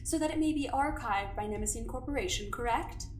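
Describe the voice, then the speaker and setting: A silky, condescending female doctor, played by a woman probes her subjects with cold politeness. Her voice sits a touch high. It rings around an echoey room with a faint hiss. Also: fairly quick, lightly acted. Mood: coolly probing. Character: smooth, manipulative female doctor interrogating coldly. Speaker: doctor and investigator. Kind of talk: audio drama acting.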